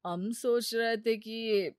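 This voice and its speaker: A woman voicing an evasive, smooth-talking young husband who gambles, glib and defensive, in an animated moral story. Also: fairly high pitch, takes it slowly, no echo, studio quality. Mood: glib, coaxing. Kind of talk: animated story dialogue, Hindi moral tale.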